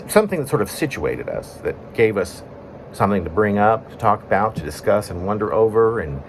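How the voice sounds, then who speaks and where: A man reading his own poem in a conversational storytelling way, breaking it into short phrases with pauses. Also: medium pitch, a little hiss or hum. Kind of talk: spoken-word poetry reading, conversational storytelling.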